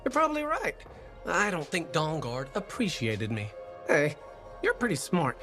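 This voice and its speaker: Highish, theatrical eager bartender. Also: male voice, sing-song, a little slow. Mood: eager, self-important. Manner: theatrical, eager, self-important. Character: self-important male bartender, hopeful bit-part actor, eager. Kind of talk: video game dialogue. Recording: music behind the voice.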